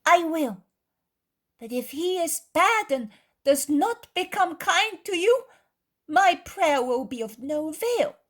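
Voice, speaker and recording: Lady doing a tiny high-pitched storybook little boy, slow and earnest, stubbornly honest. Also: big pitch swings, a bit bright, exaggerated voice. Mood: doubtful. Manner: slow, earnest, emphatic childish delivery.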